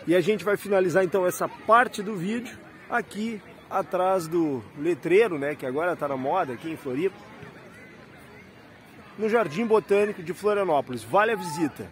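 A man talks casually into a handheld phone camera. He keeps pointing things out to his viewers in a slightly high voice, with faint ambient noise behind him. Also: normal speed, a faint crowd. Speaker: rideshare driver and travel vlogger. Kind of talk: casual walking-tour vlog.

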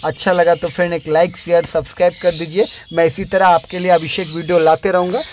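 A man's voice: high-pitched, little pitch movement, brisk, slight background noise. A young man narrating a casual vlog voice-over, chatty and calling the listener "friend" again and again. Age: young adult. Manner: chatty, repeats "friend" often. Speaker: bird breeder vlogger. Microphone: dubbed voice-over after filming, no mic on site.

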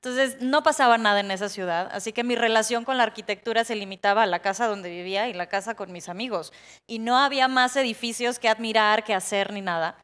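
A woman from Ensenada with a Mexican accent, an architect and interior designer telling her story on a conference panel in a relaxed way. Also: on the high side, quick pace. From Ensenada, Baja California, Mexico. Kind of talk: panel talk, personal story. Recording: hall reverb.

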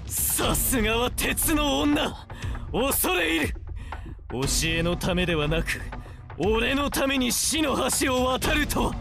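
An actor playing a brooding, vengeful antihero in an anime fighting game, declaiming in a grandiose, archaic manner. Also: male voice, a little high. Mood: menacing, fervent. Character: theatrical, brooding, vengeful male antihero wreathed in flame. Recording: dramatic music behind.

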